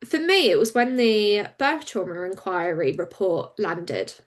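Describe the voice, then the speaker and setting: A woman, a campaigner and interview guest, speaking frankly and openly in a relaxed conversation. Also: mid-range voice. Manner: open and frank, quick and animated when recounting.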